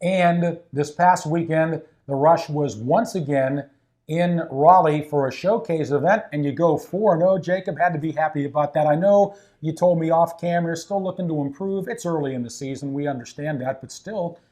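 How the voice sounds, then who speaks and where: A man's voice: on the high side, moderate pace, warm tone. A man hosting a casual sports interview in a coach's office at an ice rink, upbeat and chatty with fillers. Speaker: team broadcaster and host.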